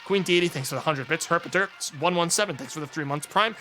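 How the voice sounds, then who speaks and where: A young man streaming live, his voice hoarse and raspy. It sits a little high and has a bright, forward edge. He talks to chat in a loose, rambling way and lets sentences trail off. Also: quick pace, soft background music. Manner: rambling, offhand, trails into mumbles. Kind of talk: casual live-stream chat, thanking subscribers.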